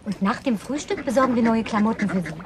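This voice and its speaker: An actress playing a bossy, playful, high-spirited woman in a German radio play, with a commanding, teasing manner. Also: animated, fast, background noise, natural voice.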